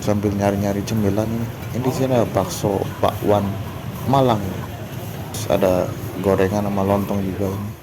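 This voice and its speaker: A man with a hoarse voice, chatting casually through a travel vlog at a train station. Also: lowish voice, fairly quick, background noise. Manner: relaxed, chatty commentary on surroundings. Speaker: travel vlogger. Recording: loud background music.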